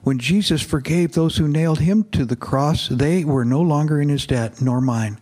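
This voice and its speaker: A man's voice: on the high side, normal speed, lightly acted. A man voicing God as a patient father. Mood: gentle. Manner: calm, gentle, patient. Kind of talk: reading a novel aloud.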